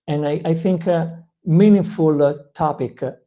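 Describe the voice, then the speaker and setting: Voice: mid-range voice, normal speed, dull audio. A speaker with an Italian accent, Italian by first language, giving an earnest motivational English lesson with frequent hesitations and self-corrections. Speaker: English-learning channel host. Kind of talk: motivational teaching talk.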